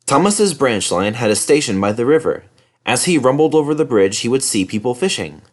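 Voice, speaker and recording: A man's voice: mid-range voice, big pitch swings, fairly quick. A man narrating a children's story as a scripted read-aloud. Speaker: storybook reader.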